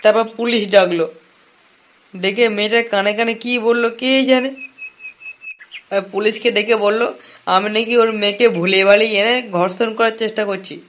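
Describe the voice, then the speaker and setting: A man's voice: sits high, steady tone, fairly bright tone. A man voicing a wronged, lovelorn young man in a comic cartoon, whining and sarcastic with foul-mouthed slang. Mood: rueful, complaining. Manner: whining, sarcastic, drawn-out lamenting. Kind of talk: comic cartoon dialogue in colloquial Bengali slang.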